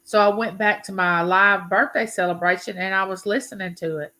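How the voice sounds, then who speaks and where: A woman in her fifties with a Southern US accent hosts a relaxed livestream chat, talking to viewers like neighbors. Her voice is bright and forceful, and her phrases are folksy and drawn out. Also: mid-range voice, brisk. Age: 50s. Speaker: YouTube livestream host. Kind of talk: casual live chat with viewers.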